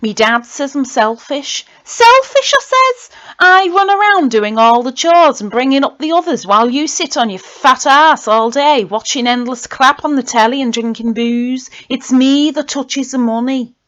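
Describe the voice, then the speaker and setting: Woman playing defiant working-class youngster, raised pitch, loud aggrieved rant, poem monologue, muffled home recording. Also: lively intonation, measured pace, hardly any pauses. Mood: indignant, defiant. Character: indignant, mouthy working-class youngster ranting at parents.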